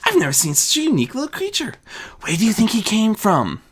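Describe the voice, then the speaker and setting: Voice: medium pitch, huge pitch swings, quick pace, a little hiss or hum, voice pushed up. A reader voicing a playful, bubbly female goddess who gushes and teases. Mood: gushing. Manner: gushing, teasing.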